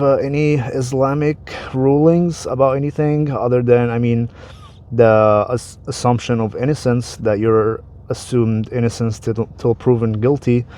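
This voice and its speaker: A man's voice: medium pitch, a little mellow. Casual man. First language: Arabic. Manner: conversational, repeats words, restarts phrases. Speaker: vlogger explaining religion. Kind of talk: casual explanatory talk to camera.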